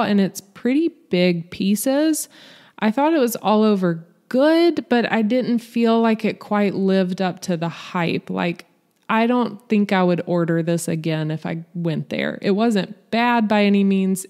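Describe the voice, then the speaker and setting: A woman's voice: mid-range voice, darkish tone. A woman giving a scripted, matter-of-fact voice-over review of a restaurant meal. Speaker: vlog host, restaurant reviewer.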